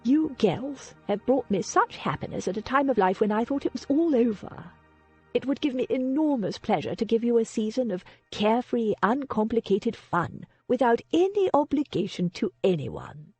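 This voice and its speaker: A woman voicing a kind, shrewd elderly aristocratic lady who coaxes in a lively, animated way. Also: moderate pace, slightly rough, no room sound, faint music behind, full character voice. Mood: coaxing. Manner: lively, coaxing, high and animated.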